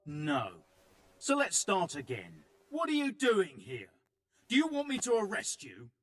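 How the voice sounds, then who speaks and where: A man's voice: high-pitched, wildly swooping pitch, measured pace, full character voice. A man playing a suspicious guard in a video game, questioning and sceptical. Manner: sceptical, interrogating. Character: suspicious male guard, gruff but easily won over. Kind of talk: video game cutscene acting.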